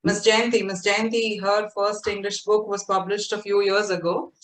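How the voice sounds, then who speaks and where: A woman's voice: mid-range voice, little pitch movement, moderate pace. A woman interviewing an author, recalling stories in a reflective, conversational way. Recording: roomy sound from a distance.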